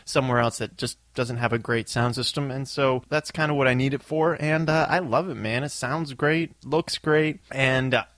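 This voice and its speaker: Easygoing man, mid-pitched voice. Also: normal speed, few pauses, dry recording. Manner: relaxed, easygoing, opinionated. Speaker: podcast co-host; touring performer. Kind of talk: casual chat.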